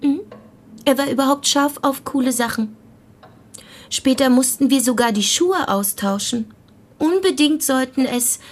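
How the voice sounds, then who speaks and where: A woman's voice: a little high, slightly rough. A woman playing a wry, tart parish deaconess, a pointed and somewhat evasive witness in a radio play. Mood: confiding, wry.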